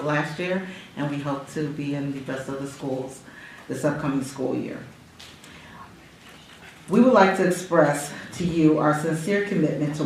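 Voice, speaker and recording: A woman reading a formal prepared statement at a school board meeting, in a solemn, measured, preacherly cadence that rises for emphasis. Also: sits low, slight background noise. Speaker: public commenter reading a statement. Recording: echoey room, voice far from mic.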